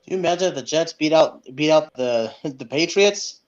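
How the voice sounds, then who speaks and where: A man co-hosting a sports podcast in casual chat, speaking haltingly and repeating words as he goes. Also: a little high, animated. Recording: dull, lo-fi sound.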